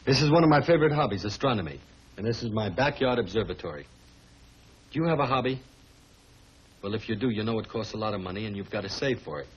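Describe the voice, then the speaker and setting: A man delivering a conversational scripted sales pitch as a commercial spokesman. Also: lively intonation, quick pace, pauses often, breathy edge. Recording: muffled vintage soundtrack, faint noise.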